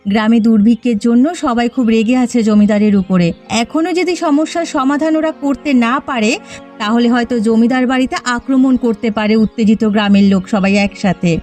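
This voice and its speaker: A very high-pitched village housewife in a cartoon drama played by a woman. Her delivery is emphatic and theatrical, with faint music underneath. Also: a little fast, warm tone, close to an everyday voice. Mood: earnest, confiding. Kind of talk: dramatic Bengali cartoon voice acting.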